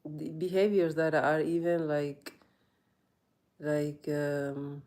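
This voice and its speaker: A woman's voice: low-pitched, little pitch movement, slow, a little mellow. A woman yoga teacher in a reflective personal monologue, earnest and rambling, often saying "you know".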